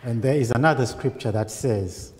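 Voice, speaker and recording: A man's voice: medium pitch, normal speed, a little mellow. A middle-aged man reading scripture aloud to a congregation. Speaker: scripture reader. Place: church hall, standing at the front. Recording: reverberant church, faint background noise.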